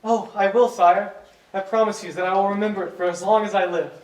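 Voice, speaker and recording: An actor playing a loyal, humble page in a stage musical reading, deferential and earnest. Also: male voice, high-pitched, fairly even intonation, brisk, darkish tone, lightly acted. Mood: humble, grateful. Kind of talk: theatrical stage acting. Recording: roomy, distant live stage pickup.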